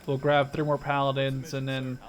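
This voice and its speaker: A man's voice: mid-range voice, monotone, normal speed. A man in his twenties from the Netherlands giving informal, sweary gameplay commentary, thinking aloud as he plays. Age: 20s. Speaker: gaming video creator.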